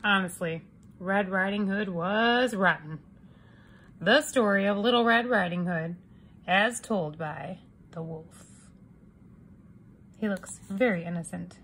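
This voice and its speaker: A woman reading a picture book aloud to children as an expressive storyteller. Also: medium pitch, slow pace, pauses often, a little hiss or hum.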